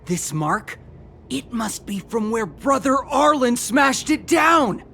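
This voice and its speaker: A man's voice: very high voice, lively intonation, slow pace, creaky, bright, forceful tone, slight background noise, exaggerated voice. A man voicing an earnest, devoted young guard in a video game, full of awe for his hero. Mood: speculating.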